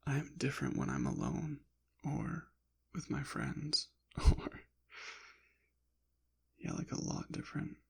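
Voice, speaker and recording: A man rambling softly in an ASMR style, slipping in and out of a whisper, with a hesitant, halting, apologetic manner. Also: medium pitch, gravelly, breathy edge. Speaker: ASMR channel creator. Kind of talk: soft-spoken ASMR ramble, partly whispered.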